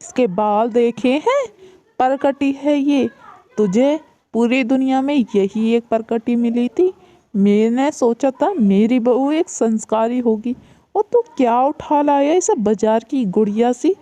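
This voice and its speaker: A woman's voice: a little high, slow, dark tone, dry recording, voice pushed up. A woman voicing a stern, scornful mother-in-law who scolds and dismisses the family around her. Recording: dull lo-fi recording.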